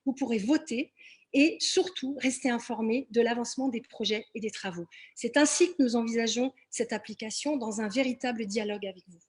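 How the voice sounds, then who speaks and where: A woman with a raised, husky pitch presents as a local election candidate. She speaks partly off the cuff, addressing her listeners directly and leaning on the points that matter. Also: fairly quick. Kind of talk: public meeting presentation, partly improvised.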